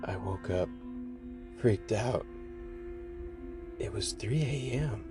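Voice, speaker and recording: A man hosting a podcast, reading creepy first-person stories aloud in a measured, deliberate narration. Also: lowish voice. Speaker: podcast host and reader. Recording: music under the voice. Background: background music.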